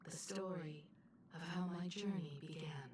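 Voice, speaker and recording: An actress playing an earnest, gentle young woman in a video game, recounting legends in a measured, sincere way. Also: low-pitched, distant mic, slight background noise. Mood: tender, sincere. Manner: measured, sincere, story-telling delivery. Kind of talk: scripted video game dialogue.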